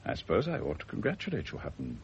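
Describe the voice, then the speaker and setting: An actor playing a genial, drily humorous British family doctor in a radio drama. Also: male voice, very deep voice, huge pitch swings, racing, exaggerated voice. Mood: wry, probing. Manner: courteous, drily humorous, musing. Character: genial, wry, philosophical English family doctor. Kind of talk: 1970s radio drama acting. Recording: dull, noisy lo-fi old radio recording.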